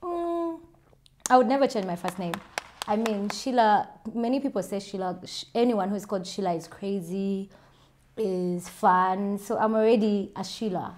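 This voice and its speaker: A woman's voice: medium pitch, normal speed, darkish tone. A woman with a Ugandan accent, chatting casually and self-assuredly as she answers viewer questions in a vlog. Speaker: vlogger.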